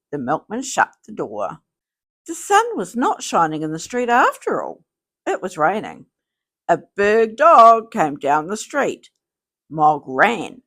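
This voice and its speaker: A woman reading a children's storybook aloud in a theatrical, deliberate way. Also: medium pitch, fairly bright tone. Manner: slow, theatrical, deliberate phrasing. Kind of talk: expressive scripted storybook read-aloud.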